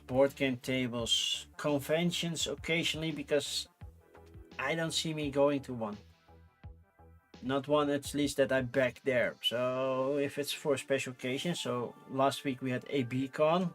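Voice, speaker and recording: A man's voice: medium pitch, a bit bright. A man with a Dutch accent, a native Dutch speaker, hosting his channel in casual solo chat, rambling and often correcting himself. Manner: rambling, chatty, self-correcting with frequent fillers. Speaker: channel host.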